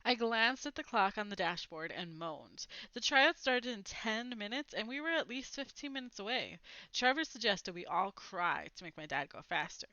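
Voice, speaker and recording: A woman reads a children's story aloud in an animated, dramatic way. Her pitch swings widely through each sentence and her tone is bright and forward. The sound is dry, with very little room to it. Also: normal speed, dry recording, highs cut off. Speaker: storybook reader. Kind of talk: expressive read-aloud of a children's novel.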